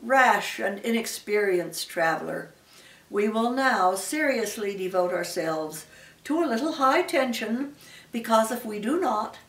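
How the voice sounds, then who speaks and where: A woman's voice: fairly high pitch, lively intonation, measured pace, roomy sound, recorded from a distance. An elderly woman voicing a pompous, wordy male python with formal manners in a storybook reading. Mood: grave, pompous. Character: pompous, long-winded male python rock snake.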